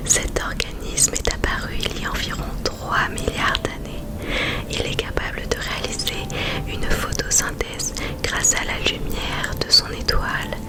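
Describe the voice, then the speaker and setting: A woman playing an awestruck space mission scientist in a whispered ASMR roleplay, speaking in a slow, hushed, solemn and poetic way. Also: natural voice. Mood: awed. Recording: music behind the voice.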